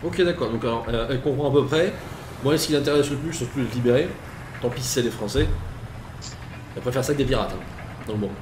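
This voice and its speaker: A man's voice: medium pitch, quick pace, long pauses. A man narrating as game master of a tabletop game, hesitant, with many fillers and restarts. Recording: echoey room with clear noise.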